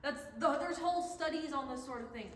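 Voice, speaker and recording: Girl acting skeptical science student, snarky, kinda high voice, echoey with some noise. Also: fairly quick, fairly bright tone. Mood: argumentative. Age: young adult. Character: female college biology student, skeptical, stubborn, sardonic. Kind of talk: staged play reading. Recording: echoey hall, long reverb, background noise.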